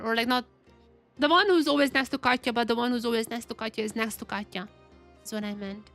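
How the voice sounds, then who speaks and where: A woman giving casual, chatty live commentary on a video game, excitable and often repeating her phrases. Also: fairly high pitch. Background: game music.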